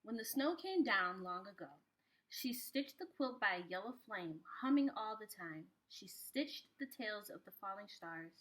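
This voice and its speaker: Casting: female preschool storyteller, bright voice at medium pitch, expressive delivery for a children's picture book. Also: normal speed, rough recording. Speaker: preschool teacher reading aloud.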